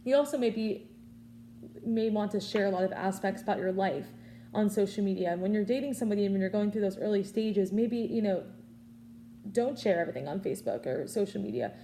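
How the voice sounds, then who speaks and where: Fast-talking young woman, mellow voice. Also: medium pitch, slight background noise. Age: early twenties. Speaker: student public speaker. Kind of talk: informal prepared persuasive speech.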